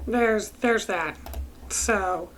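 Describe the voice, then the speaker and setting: A woman giving casual, halting gameplay commentary as a video host, often interrupting herself mid-thought. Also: medium pitch, very noisy. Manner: halting, rambling, self-interrupting.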